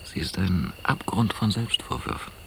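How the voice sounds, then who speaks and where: An actor playing a hesitant, affectionate man in quiet, intimate radio drama dialogue, with a gravelly voice. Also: low voice, lively intonation, normal speed, heavily acted. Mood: hesitant, reflective. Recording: noisy, hissy old cassette radio play.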